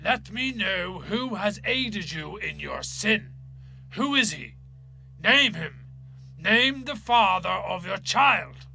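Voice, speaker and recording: A man acting a stern, pompous Irish parish priest in a dramatic story reading, slow and commanding in his delivery. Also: high voice, animated, vocal fry, pushed and bright, full character voice. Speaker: actor.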